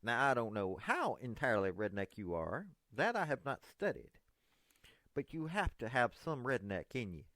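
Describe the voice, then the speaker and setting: A man's voice: medium pitch, very animated, moderate pace, bright, forceful tone, no echo. East tennessee guy, 50s, folksy rambling monologue, close dry mic. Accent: Southern US, East Tennessee. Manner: folksy, meandering, earnest. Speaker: political commentator. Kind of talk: direct-address monologue to a politician.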